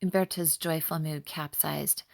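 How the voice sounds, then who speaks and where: A woman narrating her own children's story in an expressive, clearly enunciated manner. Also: sits low, measured pace. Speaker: author reading own story.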